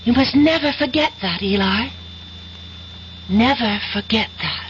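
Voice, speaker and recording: A woman's voice: a little high, expressive, measured pace. An actress playing a proud, scorned young colonial woman with a vengeful temper, haughty and theatrical in 1970s radio melodrama style. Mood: yielding. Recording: muffled old radio broadcast, faint noise.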